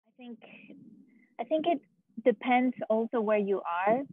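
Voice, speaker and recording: A woman's voice: fairly high pitch, animated, a little slow, dry recording. A woman in her thirties from Mexico with a Mexican Spanish accent, a ceramic artist answering reflectively, searching for words, recorded outdoors on an earbud mic. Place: outdoors by a concrete wall. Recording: dry, phone-like audio.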